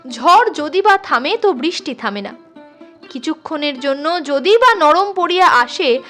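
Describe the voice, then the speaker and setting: A woman reading a literary story in an expressive, deliberate way, as a storyteller. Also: high voice. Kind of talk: scripted literary story narration. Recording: music under the voice. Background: instrumental music.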